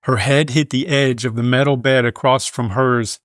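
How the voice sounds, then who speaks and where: A man reading scripted news in a measured, formal manner. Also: mid-range voice, steady tone, dry recording, studio quality. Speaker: news reader.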